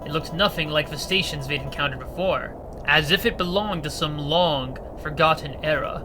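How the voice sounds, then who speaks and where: A man narrating a visual novel story in a steady, expressive reading. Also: a little high, normal speed, rough recording. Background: faint music.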